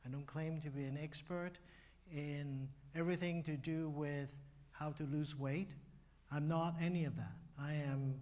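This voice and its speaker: A man, a doctor giving a relaxed, conversational talk at a church, with fillers and restarts. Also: medium pitch. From Hong Kong. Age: 60s. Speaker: guest speaker, physician.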